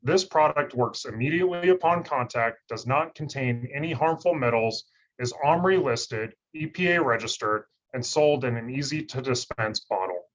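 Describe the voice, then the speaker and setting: Monotone man presenting on a call. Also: mid-range voice, moderate pace. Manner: monotone, steady reading. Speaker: industry research scientist presenting. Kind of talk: scripted webinar presentation. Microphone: video call. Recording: slightly dull lo-fi call audio.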